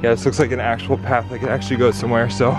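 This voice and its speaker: A man's voice: medium pitch, level tone, racing, music in the background. A young man filming himself at arm's length as he hurries through the woods, talking urgently with gasps and exclamations. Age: young adult. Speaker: vlogger. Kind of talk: excited vlog narration. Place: woods outdoors. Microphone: handheld camera at arm's length.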